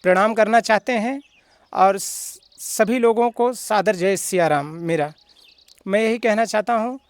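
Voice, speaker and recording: Devout man with a smooth high voice. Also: dry recording. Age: 30s. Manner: devout, formal, slow drawn-out chanting. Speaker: devotional singer. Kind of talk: interview answers and bhajan singing.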